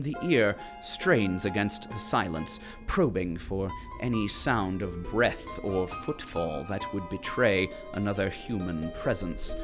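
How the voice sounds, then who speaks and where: A man voicing a formal, wordy narrator in an audio drama, speaking in an ornate, mannered way. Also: low voice.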